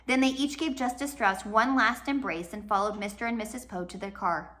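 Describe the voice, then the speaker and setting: A woman reading a children's novel aloud as a storyteller, dramatic, with swells and hushed drops. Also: mid-range voice, fairly quick. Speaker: storybook reader. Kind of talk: expressive read-aloud narration of a children's novel.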